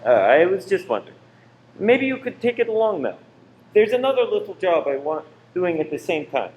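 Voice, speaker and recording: A man's voice: sits high, very animated, normal speed, a little mellow, lo-fi sound. A man playing a tense, secretive married cinema owner, insistent and controlling, in a radio play. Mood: urgent coaxing. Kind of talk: amateur radio play acting. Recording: roomy echo with faint noise.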